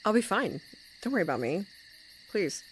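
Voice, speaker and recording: A woman's voice: mid-range voice, big pitch swings, normal speed, slight background noise, lightly acted, low-quality recording. A woman playing a serious, guarded young friend with dry humour in an audio drama. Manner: understated, guarded. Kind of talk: naturalistic audio drama acting.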